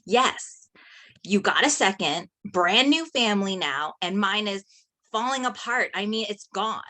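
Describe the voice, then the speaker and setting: An actress at a table read playing an emotional, chatty teenage best friend. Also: female voice, mid-range voice, lively intonation, a little slow, pushed and bright.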